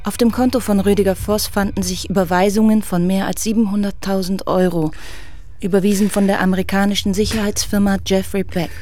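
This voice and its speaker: A woman's voice: mid-range voice, steady tone, brisk, darkish tone, faint music behind. A woman narrating a radio drama in a factual, report-like style.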